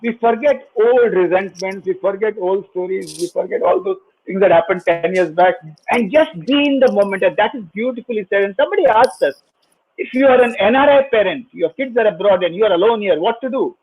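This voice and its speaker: A man's voice: high voice, fairly quick, darkish tone, husky, rough recording. A man hosting a panel discussion, repeating phrases as he gives advice. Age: 60s. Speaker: host.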